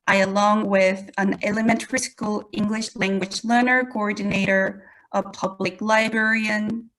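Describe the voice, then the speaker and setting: A woman with a Korean accent gives a prepared online presentation. Her voice sits at a middle pitch for a woman. She speaks deliberately, like an educator, and addresses her listeners directly. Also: poor audio. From South Korea. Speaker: presenter, English language educator. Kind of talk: webinar presentation, prepared talk.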